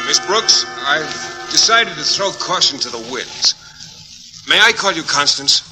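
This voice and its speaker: An actor playing a bashful biology teacher as a dreamy suitor in an old radio sitcom, hesitant and tender. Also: male voice, a little high, animated, unhurried, rough recording. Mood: tender, romantic. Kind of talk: 1940s-50s American radio sitcom acting. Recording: old radio recording, loud music behind. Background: music.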